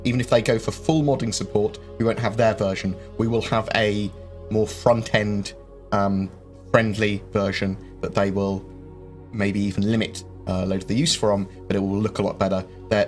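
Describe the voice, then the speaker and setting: A man hosting a live-stream Q&A, rambling through viewer questions with lots of ums and quick jokes. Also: lowish voice. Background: faint game music.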